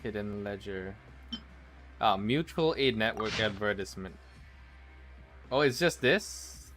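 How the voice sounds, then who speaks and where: A man's voice: fairly low pitch, expressive, takes it slowly, a bit bright, soft background music. A man livestreaming a game, commenting casually and wryly and reading on-screen text aloud. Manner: casual, wry.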